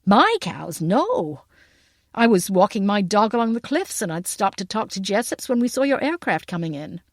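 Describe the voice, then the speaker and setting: A woman's voice: medium pitch, very animated, normal speed, no room sound, clean studio sound. Woman acting easygoing American countryman, polite and amused.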